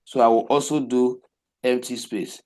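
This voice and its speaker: A man teaching a coding class, explaining each step slowly and repeating himself, often asking rhetorical questions. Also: medium pitch, steady tone, a little mellow. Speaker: web development teacher. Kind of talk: live coding class walkthrough.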